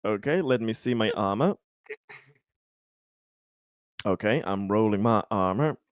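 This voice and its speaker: A man's voice: on the low side, normal speed, no room sound. A man playing a tabletop roleplaying game, bantering casually and jokily and often repeating his words. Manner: animated, jokey, repeats words.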